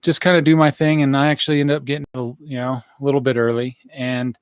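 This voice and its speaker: A hoarse man in his thirties giving a casual, rambling live update into a studio microphone in a small room. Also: mid-range voice, level tone, quick pace, dry recording. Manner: rambling, many fillers and restarts. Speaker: vlogger giving a health update. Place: small indoor room, wood-plank backdrop. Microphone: studio mic on boom arm in front.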